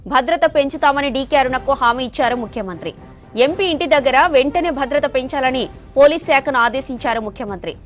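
A woman news anchor. Music plays softly beneath her delivery. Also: high-pitched, a little fast. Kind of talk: scripted news bulletin reading. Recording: music bed under the voice.

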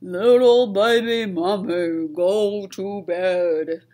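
A storybook swamp blob fellow, put on by a woman, very slow and heavy in his delivery. He stretches out his loud, bossy warnings. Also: mid-range voice, fairly even intonation. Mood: stern warning. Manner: drawn-out, heavy ordering.

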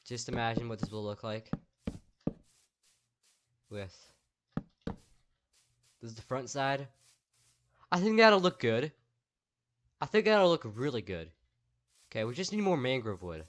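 A man's voice: medium pitch, noisy background. A young man giving casual, upbeat gameplay commentary and thinking aloud with lots of fillers, his voice worn and strained from talking all day. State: voice tired and strained. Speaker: gaming YouTuber.

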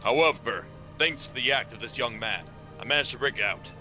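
A man's voice: mid-range voice, brisk, pushed and bright, highs cut off, soft background music. A man voicing a loyal knight commander from a fantasy video game, speaking in formal declarations. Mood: proud, dutiful. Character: loyal knight commander reporting to his king.

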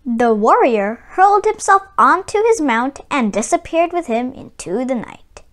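A woman reading a children's picture book aloud, with expressive, deliberate phrasing. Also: high-pitched. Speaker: storyteller and read-aloud channel host.

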